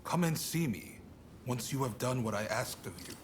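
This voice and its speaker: An actor playing a shrewd, courteous shogunate statesman in a video game, speaking in a measured, formal, authoritative way. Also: medium pitch, lively intonation, a little hiss or hum, natural voice. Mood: composed authority. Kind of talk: video game cutscene acting.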